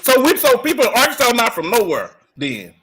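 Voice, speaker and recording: A man with a very high, husky voice that leaps about, skeptical and puzzled by where the talk is going. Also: wildly swooping pitch, brisk, a bit bright. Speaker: podcast co-host. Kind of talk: casual debate.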